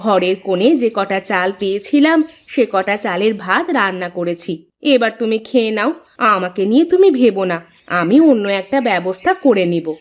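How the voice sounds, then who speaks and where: A woman's voice: mid-range voice, animated, a little fast, close to an everyday voice. A woman voicing a poor farmer's devoted, fussing wife in a moral-story cartoon, on a dull recording. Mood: caring, resigned.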